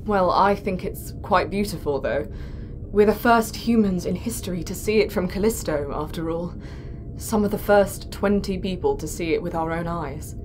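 A woman's voice: on the low side, moderate pace, a little mellow, slight background noise, natural voice, low-quality recording. A woman playing a mild astronaut medic in a science fiction audio drama, conversational and reflective. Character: mild, wonder-struck female astronaut medic.

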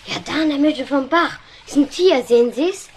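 A woman's voice: high-pitched, moderate pace, lightly acted. A woman voicing an earnest, caring young boy in an old radio play. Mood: eager. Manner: earnest, concerned. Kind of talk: 1970s radio play acting. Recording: noisy old vinyl record.

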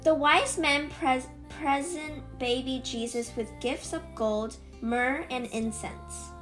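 A woman's voice: high-pitched, slow, a bit bright, faint music behind. A woman reading scripted narration for a children's puppet show.

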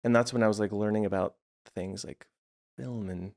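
Reflective, low-voiced man in his forties. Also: fairly even intonation, moderate pace, gravelly, darkish tone. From United States (Los Angeles area). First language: Croatian. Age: 40s. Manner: reflective and meandering, many "like" fillers. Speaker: guest, art therapist. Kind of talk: casual conversational interview.

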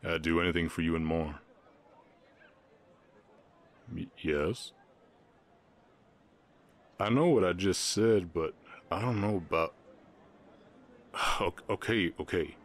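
A bashful orc cowboy, acted out by a man, speaks in a rock-bottom deep voice with a light Southern American accent. He is gentle and lovestruck, hesitating often as he talks. The delivery is intimate ASMR roleplay. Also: a little slow, long pauses. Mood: flustered, fond. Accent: slight Southern American. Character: shy, gentle, lovelorn male orc cowboy.

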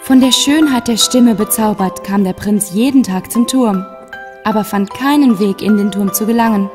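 A female storyteller narrates a children's fairy tale from a script. Her voice sits at a medium pitch, with music clearly playing behind it. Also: normal speed.

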